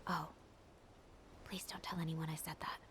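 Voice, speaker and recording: A woman's voice: deep voice, level tone, normal speed, a bit bright, slight background noise. A woman voicing an earnest, caring military commander giving formal, composed mission briefings in a video game. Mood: worried, confiding.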